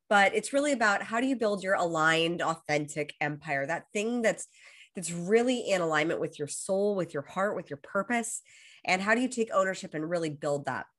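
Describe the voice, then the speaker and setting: A woman's voice: mid-range voice, a bit bright. A woman in her thirties from Los Angeles with an American accent, a coach speaking as an interview guest, talking fluently and upbeat while getting over a cold. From Los Angeles, USA. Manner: fluent and upbeat, restarts phrases often. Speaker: guest, high performance coach. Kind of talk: casual interview talk.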